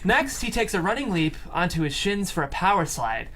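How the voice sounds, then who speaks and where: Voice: medium pitch, very animated, normal speed, a bit bright, slight background noise. A person reading stage directions aloud at a screenplay table read.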